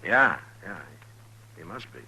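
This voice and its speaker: An actor playing a gruff, wry, unhurried frontier marshal with a dry, sardonic delivery in an old radio western. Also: male voice, lowish voice, expressive, pushed and bright, exaggerated voice, low-quality recording. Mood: wry, skeptical. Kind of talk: 1950s radio western acting. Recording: old radio broadcast, hum and hiss.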